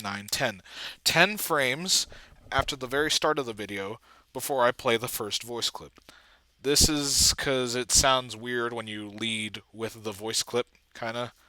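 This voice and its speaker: A man giving a casual walkthrough as an amateur video editor, thinking aloud haltingly and trailing off. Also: mid-range voice, sharp tone, clear, resonant tone, dry recording. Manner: halting, trailing off mid-thought.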